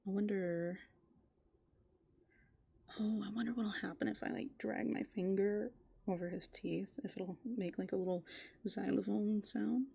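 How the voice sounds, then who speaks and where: A woman doing improvised ASMR roleplay, softly and playfully musing aloud, slipping into breathy whispers close to the microphone. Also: medium pitch, steady tone, measured pace, long pauses, no echo. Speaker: ASMR performer in a raccoon avatar persona.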